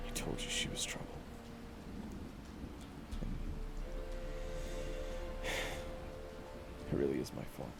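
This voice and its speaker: A man playing a bitter, foul-mouthed investigator weighed down by guilt in an audio drama. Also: fairly low pitch. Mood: regretful. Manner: swearing, bitter, blunt. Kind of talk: dramatic audio roleplay acting.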